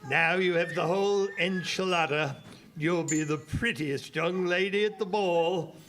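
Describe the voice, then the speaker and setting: A man's voice: high voice, unhurried, a bit bright, faint music behind. A man playing a fussy but kindly fairy godparent who teaches at an academy, theatrical and a little hesitant, in children's audio theater. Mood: reluctant, exasperated.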